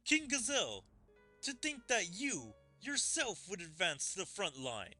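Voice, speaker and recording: Indignant game soldier, acted by a male streamer: raised, forceful, formal and urgent. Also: sits high, wildly swooping pitch, a little slow, soft background music, pitched above the performer's own voice, exaggerated voice. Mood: astonished. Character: indignant, loyal fantasy soldier reporting to his king. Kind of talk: reading game dialogue aloud in character.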